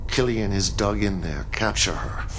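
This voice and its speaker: An actor playing a ruthless, grandiose cult leader in a video game, speaking in a measured, imperious, theatrical manner. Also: male voice, lowish voice, slight background noise, close to an everyday voice. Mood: cold command. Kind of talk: dramatic acting in game cutscenes.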